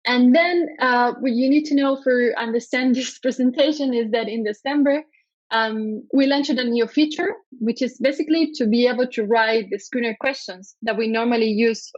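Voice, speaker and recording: Spanish-accented woman presenting, halting. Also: on the high side, dry recording, lo-fi sound. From Spain. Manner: hesitant, frequent ums and uhs. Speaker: conference speaker. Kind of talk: conference presentation.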